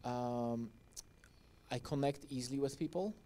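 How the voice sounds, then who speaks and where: A man's voice: mid-range voice, dark tone. A man in his thirties with a Polish accent, a native Polish speaker, asking a hesitant question from the audience of a cinema hall into a handheld mic. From Poland. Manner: hesitant, halting, many "uh" and restarts.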